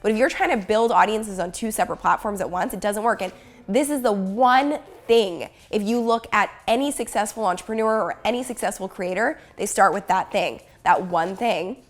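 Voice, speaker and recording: Hoarse, chatty woman vlogging from home. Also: medium pitch, normal speed. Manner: upbeat, chatty, direct to the viewer. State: hoarse from a cold. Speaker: YouTuber and business coach. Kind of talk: casual talking-head vlog.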